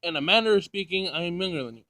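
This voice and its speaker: A man's voice: high-pitched, expressive, racing, sharp tone, no echo, exaggerated voice. Visual novel android, read by a man: female machine, literal-minded, formal and emotionless in delivery. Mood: clinical, blunt. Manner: pedantic, clinical, formal wording.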